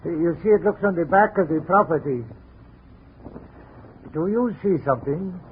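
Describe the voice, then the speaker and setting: A man's voice: fairly high pitch, lively intonation, lightly acted. Anxious Austrian father, acted by a man, tinny old radio. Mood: anguished, pleading. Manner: halting, pleading, emotional. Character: anxious middle-aged immigrant gallery owner and protective father. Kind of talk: 1950s radio drama acting. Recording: noisy, tinny 1950 radio recording.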